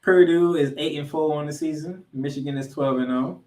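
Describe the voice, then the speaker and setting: A man's voice: fairly high pitch, fairly quick, mellow. An American man hosting a football podcast, giving a recap and preview with an emphatic, colloquial delivery. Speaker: sports podcast host. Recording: roomy, echoing sound.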